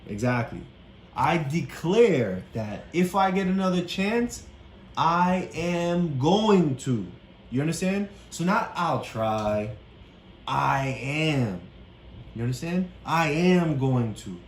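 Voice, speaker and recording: A woman's voice: deep voice, big pitch swings, slow, roomy sound, slight background noise. A woman coaching a child through a story read-aloud, explaining each part patiently and often checking that the child understands. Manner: encouraging, keeps checking understanding.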